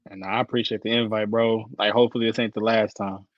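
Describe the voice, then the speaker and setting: A man in his early thirties, a guest on an interview over a video call, talking in an animated, slangy way with lots of fillers. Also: lowish voice, fast, dry recording, dull audio. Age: 30s. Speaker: guest, football analyst. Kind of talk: casual interview chat.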